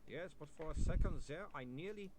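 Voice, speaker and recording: A man voicing a nervous young male vampire who is polite, anxious and pleading, with loud keyboard typing behind. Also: on the high side, lively intonation, normal speed, very noisy, voice pushed up, close to an everyday voice. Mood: ashamed. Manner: anxious, pleading, overly formal.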